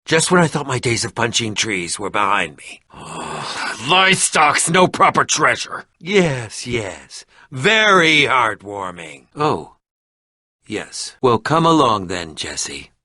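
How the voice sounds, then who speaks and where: A man's voice: medium pitch, very animated, slow pace, bright, forceful tone, no echo, clean studio sound, heavily acted. A man voicing a pompous, irritable, middle-aged eccentric adventurer and potion maker in a video game, with a dramatic, sardonic, fussy delivery. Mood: dry, sardonic. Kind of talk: animated video game voice acting.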